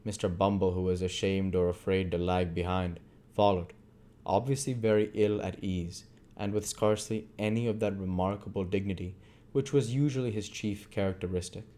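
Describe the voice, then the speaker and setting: A man's voice: deep voice, fairly even intonation, normal speed, warm tone. A man reading literary narration aloud as an amateur audiobook reader.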